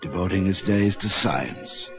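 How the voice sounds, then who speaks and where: An actor playing an ominous, brooding host who narrates a radio horror series in a dramatic style. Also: male voice, low voice, measured pace. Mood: ominous calm. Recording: muffled radio broadcast with music.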